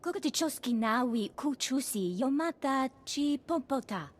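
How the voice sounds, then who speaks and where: A female alien in a video game. She speaks a rhythmic invented tongue in a fairly high voice. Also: expressive, moderate pace. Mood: businesslike.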